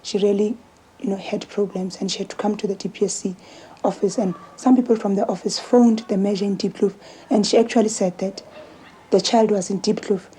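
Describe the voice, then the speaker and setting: Husky South African woman giving testimony. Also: mid-range voice, mellow, low-quality recording. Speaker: interviewee. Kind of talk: spoken testimony in an interview.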